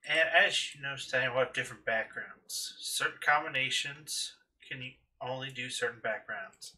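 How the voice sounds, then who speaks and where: A man's voice: mid-range voice, moderate pace, pushed and bright. A man hosting a casual video game playthrough, commenting and explaining as he plays. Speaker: gaming channel host. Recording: distant mic with faint noise.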